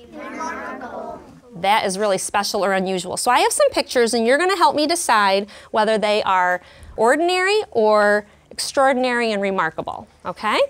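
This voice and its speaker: A woman in her thirties, a teacher in a classroom, reading a story aloud with clear, expressive delivery and pausing to explain words. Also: fairly high pitch. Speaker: kindergarten teacher. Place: elementary classroom.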